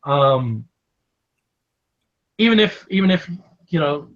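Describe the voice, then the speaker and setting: A man's voice: on the high side, lively intonation, slow pace, a bit bright. An Australian man with a slight American tinge to his accent, a guest talking casually on a stream, full of fillers and restarts. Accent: Australian, a bit of American. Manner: hesitant, many restarts and fillers. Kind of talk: casual online stream chat. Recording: dull lo-fi stream audio.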